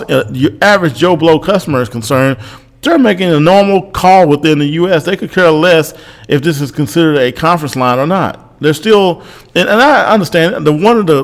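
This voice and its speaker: An American man giving unscripted opinion commentary as a host, in a measured, explanatory way, often repeating himself. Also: mid-range voice. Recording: long hall-like reverb.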